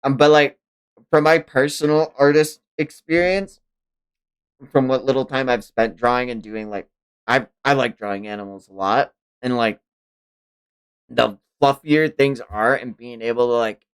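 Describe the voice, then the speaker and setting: A man's voice: mid-range voice, steady tone, measured pace, clear, resonant tone. A man hosting a live read-along, reacting casually and expressively, with exclamations and some swearing. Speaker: livestream host reading manga aloud.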